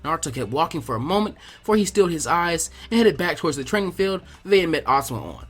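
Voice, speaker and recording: A man narrating a fan fiction story as a scripted, expressive reading. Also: on the high side, fast, breathy edge. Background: background music.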